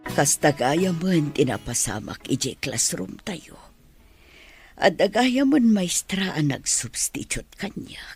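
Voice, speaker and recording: A woman's voice: deep voice, very animated, slow, a little mellow, breathy edge, faint music behind, full character voice. A woman playing an ailing, affectionate schoolteacher in a radio drama. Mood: gentle. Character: ailing, affectionate female schoolteacher, welcoming her students. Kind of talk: Ilocano radio drama acting.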